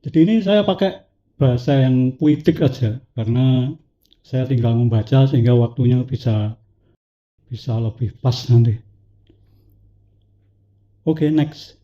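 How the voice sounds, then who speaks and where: A man with a cough reading a poetic prepared talk to an audience, slow and measured, with dramatic pauses. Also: mid-range voice, dull audio. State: has a cough. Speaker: speaker at a talk event.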